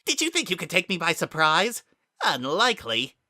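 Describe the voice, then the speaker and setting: A man's voice: a little high, huge pitch swings, moderate pace, sharp tone, no room sound, studio quality, voice pushed up, exaggerated voice. A man voicing a menacing young demonic villain with a theatrical, sneering and coldly formal manner in a storybook reading. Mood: cold, forceful.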